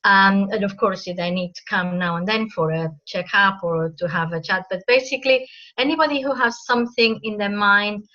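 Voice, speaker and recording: A woman's voice: mid-range voice, normal speed, sharp tone. A woman introducing herself as a counselor and hypnotherapist, explaining her work steadily over a video call. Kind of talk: networking self-introduction. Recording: muffled video call audio.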